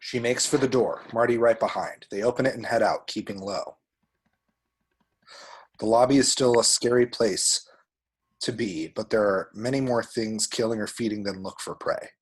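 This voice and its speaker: A man with a husky voice reads screenplay action descriptions aloud as a narrator. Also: fairly low pitch, steady tone, normal speed. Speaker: table-read action narrator.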